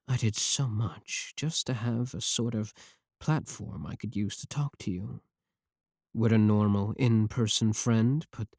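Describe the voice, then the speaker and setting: A man's voice: fairly low pitch, vocal fry, darkish tone, husky, dry recording, heavily acted. Man acting as an obsessive, clingy yandere streamer, close and dry. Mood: tender, earnest. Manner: intense, pleading, intimate direct address. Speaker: ASMR roleplay voice actor. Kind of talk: ASMR roleplay monologue to the listener.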